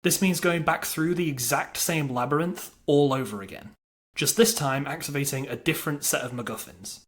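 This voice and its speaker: A man's voice: on the high side, normal speed. A man delivering scripted narration for a video game review, with a wry, dryly humorous manner.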